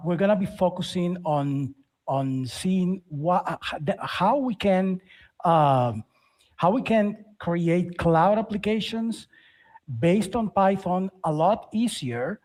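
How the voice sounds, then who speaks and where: A middle-aged man gives an informal tech presentation, haltingly, often repeating words and phrases. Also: a little high, darkish tone. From Dominican Republic. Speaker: conference speaker, software developer.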